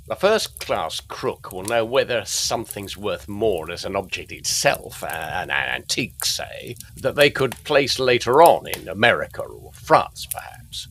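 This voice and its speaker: Slow, deliberate old-time London detective. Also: male voice, on the low side, fairly bright tone, slight background noise, heavily acted. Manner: deliberate, halting, working man's turns of phrase. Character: gruff, plain-spoken male police detective sergeant in old London. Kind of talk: gothic audio drama acting.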